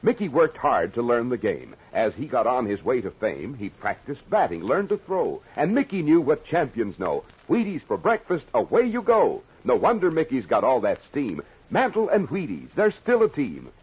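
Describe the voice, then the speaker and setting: A man's voice: medium pitch, huge pitch swings, normal speed, few pauses, a little mellow, breathy edge. A man with an American accent reading a 1950s radio commercial like an upbeat, folksy salesman. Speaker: commercial announcer. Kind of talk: 1950s radio advertisement read. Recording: dull old radio recording.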